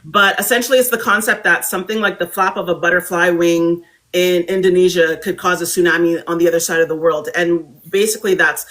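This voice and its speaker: A young adult woman from Barbados, a guest speaker telling her story in an animated, reflective conversational way. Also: on the low side, brisk.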